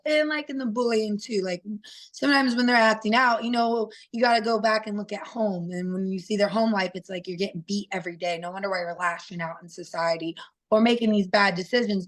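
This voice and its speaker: Raspy woman in her thirties, interview guest, candid and earnest, mid-pitched voice. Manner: open and earnest, with frequent fillers. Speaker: interview guest, professional fighter. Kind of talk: candid personal storytelling.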